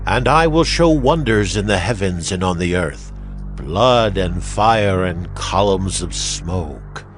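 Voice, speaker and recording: A man's voice: deep voice, expressive, slow. A man giving a solemn, declamatory narration of scripture in a dramatized style. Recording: music under the voice. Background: music.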